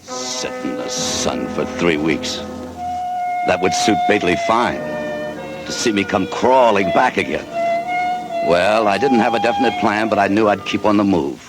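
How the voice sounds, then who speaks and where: Weary, sardonic drifter. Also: male voice, on the low side, lively intonation, moderate pace, rough recording. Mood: bitter. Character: restless American salesman, fed up, first-person narrator. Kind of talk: 1940s radio acting. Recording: old radio broadcast with music bed.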